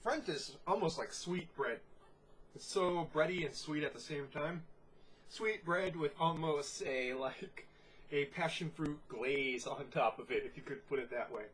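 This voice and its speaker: A congested man giving a casual, descriptive beer tasting review, unhurried and full of "you know" fillers. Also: on the high side, a bit bright, a little hiss or hum, low-quality recording.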